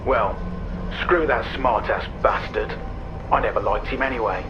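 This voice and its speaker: An actor playing a breezy, cynical shady contact over the radio in a video game, flippant and mocking. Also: male voice, fairly low pitch, moderate pace. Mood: mocking, amused. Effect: radio transmission. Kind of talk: video game cutscene acting. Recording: muffled, very noisy radio link.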